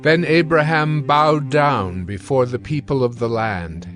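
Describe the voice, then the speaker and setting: Measured male scripture narrator over soft music. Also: mid-range voice.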